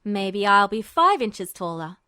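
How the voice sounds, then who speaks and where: Storybook role: shrugging, fatalistic teen boy soldier, slow and relaxed, played by a woman. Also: medium pitch, clean tone, no echo, clean studio sound, voice pushed down. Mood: casual. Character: teenage boy soldier, easygoing, teasing, fatalistic. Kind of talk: audiobook-style character reading of fan fiction.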